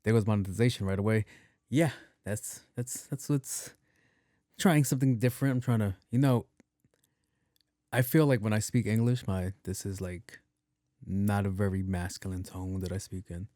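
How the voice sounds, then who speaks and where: A young man hosting a podcast in a soft voice, rambling casually with plenty of cursing and restarts. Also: moderate pace, dry recording, clean studio sound. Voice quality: soft, not deep. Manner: loose and profane, with many restarts and repeats. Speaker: podcast host.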